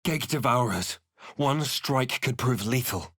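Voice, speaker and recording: Grave, measured fantasy adventurer. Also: male voice, medium pitch, lively intonation, a bit bright, breathy edge, no echo, studio quality, full character voice. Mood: wary, terse. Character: male adventurer hero, grave, watchful, introspective. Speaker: video game player character. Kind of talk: scripted narration and character lines for a fantasy game.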